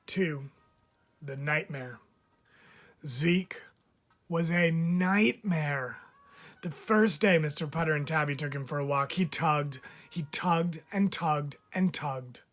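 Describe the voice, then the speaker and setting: A man's voice: on the high side, slow, a bit bright, soft background music. A man reading a children's story aloud like a teacher, drawing out phrase endings for emphasis.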